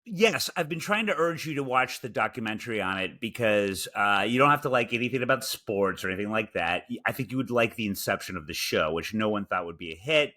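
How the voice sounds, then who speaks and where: A man's voice: medium pitch, sharp tone. A man with a Chicago accent and a nasal voice, co-hosting a podcast in dry, self-mocking, rambling banter. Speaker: podcast co-host. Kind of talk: casual banter.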